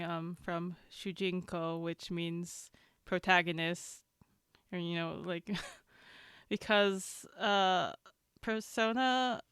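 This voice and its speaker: A woman on a podcast panel casually explaining things to fellow fans in a halting, hedging way. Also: on the low side, fairly bright tone, clean tone, no room sound, clean studio sound. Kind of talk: casual explanatory fan chat.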